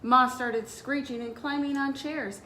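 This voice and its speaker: A woman's voice: high voice, fairly even intonation, a little slow, sharp tone, a little hiss or hum. A woman speaking as a children's librarian, hosting story time with announcements and read-aloud for young listeners.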